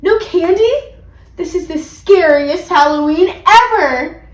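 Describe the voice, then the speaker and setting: A woman's voice: high voice, expressive, moderate pace, fairly bright tone, roomy sound, voice pushed up. A woman reading the part of an eager, dramatic little girl superhero from a storybook, earnest and exclamatory. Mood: dismayed.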